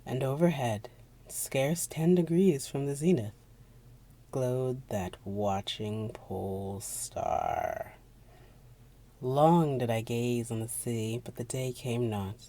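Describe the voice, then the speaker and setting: Mellow, theatrical horror narrator of unclear sex. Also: mid-range voice, very animated, takes it slowly. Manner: theatrical, dropping at times into a breathy near-whisper. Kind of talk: dramatic reading of a gothic horror story.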